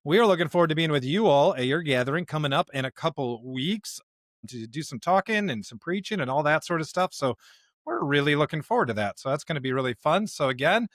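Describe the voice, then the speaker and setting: Man, American accent, fairly high voice, emphatic upbeat delivery, casual hosting, dry studio sound. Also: expressive, quick pace, a bit bright, no room sound. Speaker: pastor and podcast co-host. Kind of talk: casual podcast chat and introductions.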